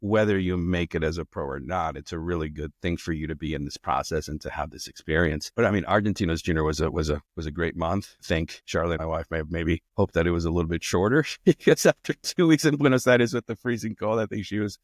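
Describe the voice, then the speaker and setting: A middle-aged man from Colombia speaks in a very low, deep voice as a podcast guest. He talks in a loose, rambling way and keeps restarting his sentences. The sound is dry and close, with no room echo. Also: fast talker, few pauses. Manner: relaxed, rambling with frequent restarts. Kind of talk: casual conversation.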